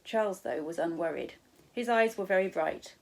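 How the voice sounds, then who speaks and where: A woman's voice: fairly low pitch, normal speed, creaky. A woman with a British accent, a primary school teacher, narrating a children's storybook expressively.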